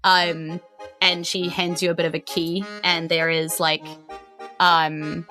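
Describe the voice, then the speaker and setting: A woman's voice: lowish voice, flat delivery, slow, sharp tone. A woman with a New Zealand accent improvising narration as game master of a tabletop game, informal and conversational. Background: faint music.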